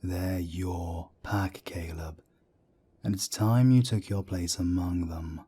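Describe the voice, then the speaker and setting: A man performs a calm werewolf mentor for an audio drama. His voice is deep, dark and husky, with a soft breathy edge. He speaks in a measured, reassuring way, like a firm older councillor advising a friend. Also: heavily acted. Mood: earnest, insistent. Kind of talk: scripted roleplay audio drama.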